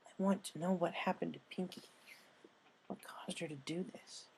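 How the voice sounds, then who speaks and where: A narrator voicing a downhearted, anxious young female pegasus pony in a subdued, halting way. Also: medium pitch, fairly even intonation, gravelly, a little mellow, whispered, pitched above the performer's own voice, lightly acted. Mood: troubled.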